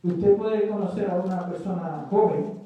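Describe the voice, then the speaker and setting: Man interpreting a sermon line by line, highish mellow voice, lots of uhs, huge church echo. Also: muffled recording. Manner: halting, with fillers and restarts while interpreting. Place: church sanctuary. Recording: echoey church hall from far off.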